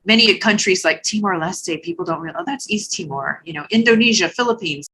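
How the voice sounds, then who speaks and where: A middle-aged woman from Hawaii, a retired army general, answering interview questions in a thoughtful, measured way with small restarts. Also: mid-range voice, animated, bright, forceful tone, slight background noise.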